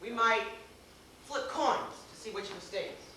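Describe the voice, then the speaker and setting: A man's voice: sits high, measured pace, bright, forceful tone, full character voice. A man acting a dry, courtly middle-aged father in a stage comedy, formal and wry. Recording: echoey theater, distant camera, faint hiss.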